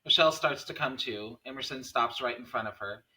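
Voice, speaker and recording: A man's voice: medium pitch, fairly quick, sharp tone, rough recording. A man reading scene headings and stage directions as narrator at a script table read.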